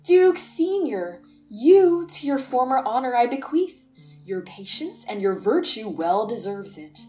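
Woman playing a slow, high-voiced melancholy courtier. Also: very animated, warm tone, soft background music. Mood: solemn, wry. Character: melancholy, contemplative, sardonic courtier in Shakespearean comedy. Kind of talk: Shakespearean radio play acting.

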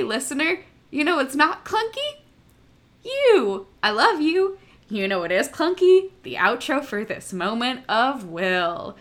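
A woman's voice: high-pitched, pushed and bright. A woman presenting a chatty podcast segment in a playful, slangy way. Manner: playful, folksy slangy phrasing. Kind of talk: chatty pre-recorded trivia segment.

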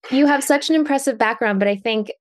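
A woman's voice: on the high side, fast. Upbeat woman hosting a podcast. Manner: upbeat, admiring. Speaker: podcast host. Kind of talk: podcast interview, scripted intro.

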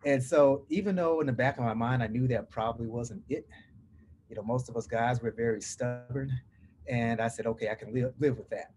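A man's voice: medium pitch, moderate pace. A middle-aged man from the US West Coast with a Southern drawl, telling his personal story as an interview guest. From Portland, Oregon, United States. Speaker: podcast guest.

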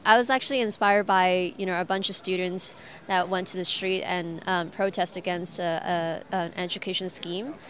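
A woman's voice: fairly low pitch, steady tone, moderate pace, fairly bright tone. A young woman from Hong Kong, a guest in an interview, talking earnestly and casually with many fillers. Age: young adult. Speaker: guest, activist.

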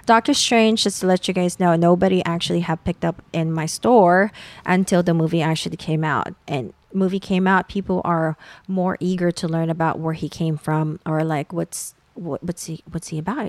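Hesitant woman with a low voice. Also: steady tone, moderate pace, no echo. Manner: hesitant, searching for words. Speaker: comic shop owner, guest. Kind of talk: casual interview.

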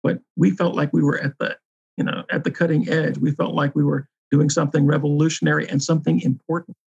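Gravelly, husky man in an interview, muffled. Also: on the high side, flat delivery, fast, a little mellow. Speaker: interviewee, games journalist. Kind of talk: reflective spoken interview. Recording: dull, muffled interview recording.

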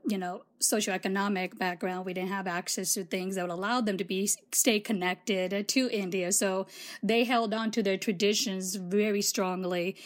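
A woman co-host speaking in an earnest, thoughtful, conversational way. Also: medium pitch. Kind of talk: casual conversational talk.

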